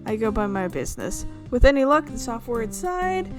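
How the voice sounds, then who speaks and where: A woman's voice: on the high side, very animated, fairly quick. A woman reading a visual novel aloud as a let's player, narrating dramatically and slipping in casual asides. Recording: music playing behind the voice.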